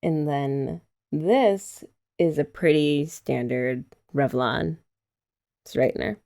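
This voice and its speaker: A woman's voice: deep voice, slow, gravelly, warm tone. A young woman doing a chatty ASMR girlfriend roleplay, explaining each step and teasing her listener. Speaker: ASMR creator.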